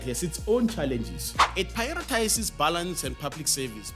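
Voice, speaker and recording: A South African man hosting an educational show, reading a scripted explainer in an emphatic presenter's manner with conversational asides. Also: a little high. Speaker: host of an educational show on radio broadcasting.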